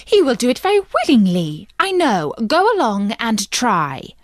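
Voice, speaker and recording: Woman playing a bossy fisherman's wife, greedy, insistent, raised animated pitch, storybook style, dry sound. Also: moderate pace, no room sound. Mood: demanding. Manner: commanding, dismissive.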